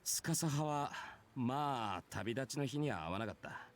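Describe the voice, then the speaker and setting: A brash warrior, played by a man, recounts his life in a visual novel. He speaks in rough, casual phrases with a nonchalant air, moving quickly and with very varied intonation. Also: mid-range voice, lively intonation, slight background noise, natural voice. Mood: nonchalant, wistful. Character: brash, easygoing male warrior telling his own past. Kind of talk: Japanese visual novel voice acting.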